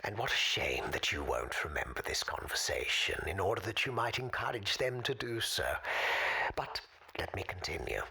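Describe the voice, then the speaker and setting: A woman playing a wistful, erudite male caller in a radio drama, speaking formally and with measured eloquence in a husky, breathy voice. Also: extremely low pitch, expressive, sharp tone, heavily acted, rough recording. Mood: wistful, wry. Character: forgotten, wistful, erudite man calling a radio show. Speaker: recurring caller on a radio show. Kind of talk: audio drama monologue, storytelling.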